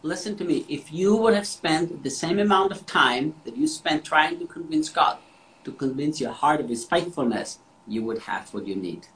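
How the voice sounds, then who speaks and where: A man's voice: a little high, lively intonation, moderate pace, a bit bright, low-quality recording. Emphatic man preaching. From Austria. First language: German. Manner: emphatic, repeats words for stress. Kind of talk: devotional sermon with interpreter.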